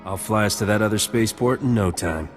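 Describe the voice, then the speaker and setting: Game hero captain male actor: low, dry, confident and cocky, in a reverberant hall with faint music. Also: fast talker, natural voice. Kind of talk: video game voice acting.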